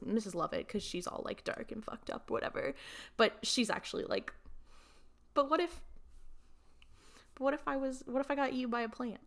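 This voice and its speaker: A woman's voice: medium pitch, creaky, a bit bright. A woman chatting casually as a podcast panelist in an informal, slangy way.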